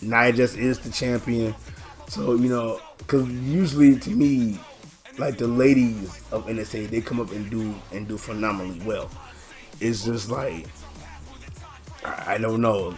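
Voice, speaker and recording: A hoarse man hosting his own channel, rambling through a casual reaction video with many halting restarts. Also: medium pitch, fairly even intonation, a little mellow, faint music behind. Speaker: channel host.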